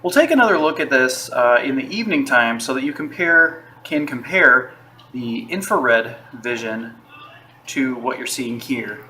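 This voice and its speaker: A man giving a casual, unscripted tech product review from home, relaxed, with frequent fillers and restarts. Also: medium pitch, unhurried, a little hiss or hum, rough recording.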